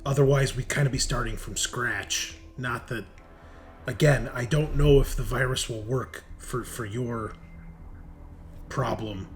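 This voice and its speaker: A man's voice: mid-range voice, a bit bright, natural voice. A man playing a flustered, apologetic doctor who stammers and hedges in a tabletop game. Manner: halting, stammering, hedging. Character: flustered male doctor, hesitant, apologetic, bluntly practical. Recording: clearly noisy background.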